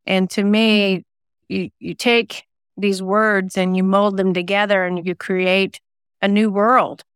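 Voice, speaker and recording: A woman's voice: mid-range voice, flat delivery, slow pace, clean tone, dry recording, clean studio sound. A woman talking reflectively as an interview guest, thoughtfully telling personal anecdotes about creative work. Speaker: singer-songwriter and novelist guest; former radio DJ.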